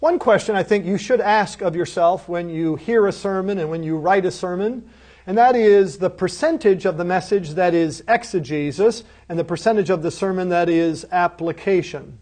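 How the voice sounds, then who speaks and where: A man with a fairly high voice explains preaching to students. His tone is earnest and instructive. He keeps turning to the listener with rhetorical questions. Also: normal speed, a little mellow. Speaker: seminary lecturer. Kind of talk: lecture on preaching.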